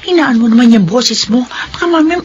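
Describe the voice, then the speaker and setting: A woman's voice: fairly high pitch, lively intonation, a little fast, lo-fi sound, noisy background, natural voice. An actress playing an anxious, secretive teacher and mother in a melodramatic Filipino audio drama. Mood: anxious scolding.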